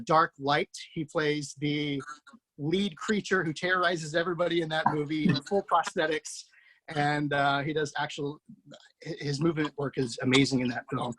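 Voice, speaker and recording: A man hosting and narrating a screenplay table read, relaxed and chatty, with many fillers. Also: on the high side, low-quality recording. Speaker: writer and host.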